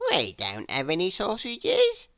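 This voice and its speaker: A woman's voice: medium pitch, wildly swooping pitch, measured pace, sharp tone, heavily acted. A woman voicing a blunt male shopkeeper in a children's storybook, matter-of-fact in manner.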